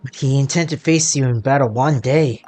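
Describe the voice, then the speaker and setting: A woman's voice: a bass voice, normal speed, dark tone. A woman game streamer giving playthrough commentary, reading dialogue aloud and reacting in a playful, rambling way. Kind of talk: playthrough commentary, voicing on-screen text and reacting. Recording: game music behind.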